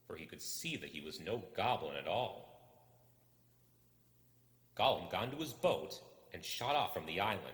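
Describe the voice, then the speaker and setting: A man with a low voice narrates a dark tale theatrically. His intonation swoops widely, with deliberate, suspenseful pauses. Everything sits in long, echoing reverb. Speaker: storybook reader. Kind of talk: dramatic, suspenseful scripted narration.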